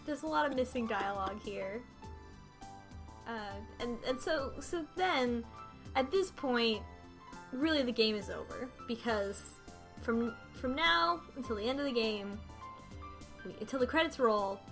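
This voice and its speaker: A woman's voice: fairly high pitch, animated, a little slow, pauses often. A woman casually recapping a game's story among friends, exasperated and mimicking lines as she goes. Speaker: book club podcast panelist. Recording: music playing behind the voice.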